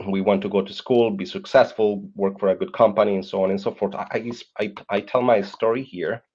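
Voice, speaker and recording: A man's voice: on the low side, steady tone, brisk, darkish tone, breathy edge. A man from Colombia with a Colombian Spanish accent, in his thirties, earnestly telling his life story as a podcast guest and often repeating words while he searches for them. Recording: dull lo-fi sound.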